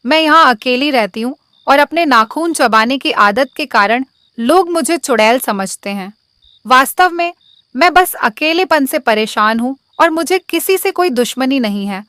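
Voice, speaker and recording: A woman's voice: sits high, lively intonation, a little slow, pushed and bright, smooth, no echo, exaggerated voice. A woman voicing a lonely, harmless old woman of the forest, guarded and plaintive. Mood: sad, pleading.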